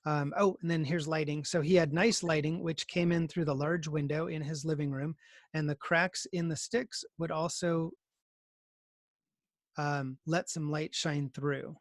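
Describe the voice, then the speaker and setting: Male screenwriting teacher, fairly high voice, casual and explanatory, dry video-call sound. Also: steady tone, a little slow, no room sound. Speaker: teacher leading a screenwriting class.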